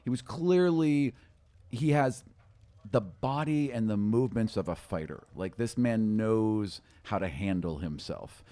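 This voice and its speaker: A man's voice: lowish voice, unhurried, dark tone. A middle-aged man improvising scene narration as a game master, colloquial and vivid, close on a studio microphone. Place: small indoor podcast studio. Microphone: close podcast microphone on a stand.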